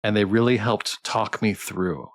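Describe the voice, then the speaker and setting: A man's voice: on the low side, steady tone, measured pace, no room sound, clean studio sound. A man hosting a casual livestream interview, effusive and encouraging with the guest. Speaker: stream host, interviewer.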